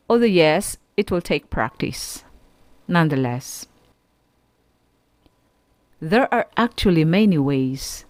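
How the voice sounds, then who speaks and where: A woman with a Filipino accent teaching students on an educational radio program, speaking emphatically and deliberately in short, separated phrases. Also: lowish voice, darkish tone, no room sound. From Philippines. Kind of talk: educational radio lecture, addressing students directly.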